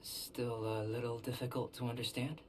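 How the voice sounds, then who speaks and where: A man playing a calm, detached young alchemist in a video game, speaking in a measured, formal, analytical way. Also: medium pitch, very noisy, close to an everyday voice. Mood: musing. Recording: faint game ambience behind the voice.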